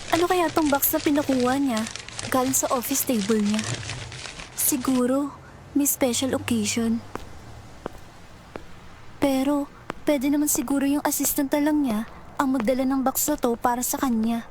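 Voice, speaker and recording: A woman playing a lively, chatty young office assistant who teases her coworkers in an audio drama. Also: sits high, normal speed, long pauses, slight background noise, natural voice. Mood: curious. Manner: bright, chatty and teasing. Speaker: lead character and narrator. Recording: music bed under voice. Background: romantic background music.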